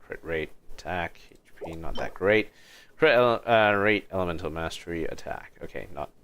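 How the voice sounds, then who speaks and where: A man's voice: low voice, normal speed, fairly bright tone, clear, resonant tone. A man giving casual livestream commentary on a video game, often muttering to himself as he goes. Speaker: game streamer. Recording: game music behind the voice. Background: video game music.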